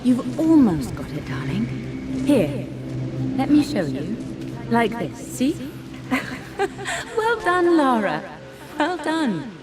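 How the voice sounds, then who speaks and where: An actress playing a tender British mother and painter in a video game, with a soft, breathy voice. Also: a little high, big pitch swings, slow pace, long pauses, background noise. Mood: tender, encouraging. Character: tender, happy mother, an upper-class painter recalling her life. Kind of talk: narrated journal memory in a video game.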